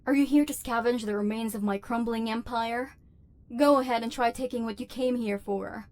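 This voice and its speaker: A haughty female robot overlord, acted by a woman for an ASMR roleplay. Her voice sits fairly high. She speaks in formal, clipped, machine-like phrases, with a commanding, human-hating villain's temper. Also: steady tone, normal speed, darkish tone, close to an everyday voice. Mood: haughty, threatening.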